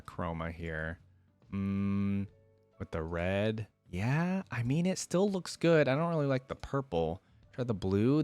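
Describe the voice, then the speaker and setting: A man's voice: medium pitch, no room sound. A man giving casual, unscripted reaction commentary as a video host, thinking aloud informally with frequent exclamations.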